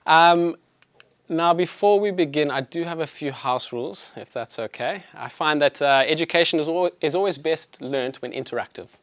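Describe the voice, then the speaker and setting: A man's voice: a little high, normal speed, fairly bright tone, no room sound. A man in his thirties with a South African accent, a chiropractor giving an evening health presentation to an audience in a friendly, conversational way with frequent fillers. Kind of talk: public health talk to an audience. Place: clinic presentation room.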